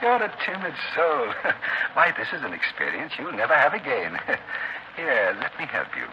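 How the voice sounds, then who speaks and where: An actor playing a genial but sinister prison guard obsessed with death, coaxing and grandiose, in 1930s radio thriller style on a scratchy old recording. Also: male voice, mid-range voice, sing-song, unhurried. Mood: gleeful menace. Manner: unctuous, coaxing, with stammered uhs and grandiose turns. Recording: scratchy, muffled 1930s radio broadcast, heavy noise.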